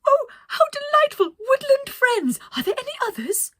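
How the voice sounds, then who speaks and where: A woman voicing a cheerful, excitable lady pilot in a children's story, gushing and gasping with theatrical delight. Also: very high voice, normal speed, voice pushed up, exaggerated voice. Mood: delighted excitement.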